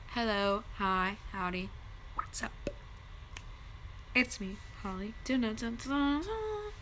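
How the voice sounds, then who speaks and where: A woman's voice: medium pitch, fairly bright tone, a little hiss or hum, low-quality recording. A young woman with a head cold and a stuffed-up nose, rambling casually through a story with lots of fillers. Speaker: vlogger.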